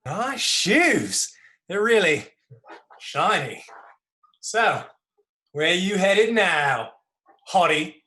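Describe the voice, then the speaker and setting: A crude, wisecracking junkie scarecrow, played by a man in a dark comedic stage reading, his voice swinging widely up and down as he jokes about his own vices. The sound carries a roomy echo. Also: sits high, sing-song, very slow, pauses often, heavily acted. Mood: flirty, curious.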